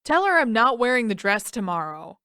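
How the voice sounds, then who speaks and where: A woman's voice: a little high, big pitch swings, normal speed, sharp tone, clear, resonant tone, no echo. An indignant teenage warrior boy acted by a woman narrator in an audio story. He whines and sputters his protests, and the sound is very dry.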